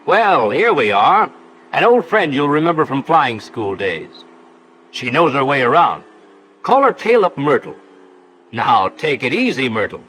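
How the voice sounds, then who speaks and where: Folksy instructor-narrator, playful, animated pitch, 1940s film soundtrack, faint noise. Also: male voice, a little slow, poor audio. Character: folksy, breezy male narrator-instructor of pilots.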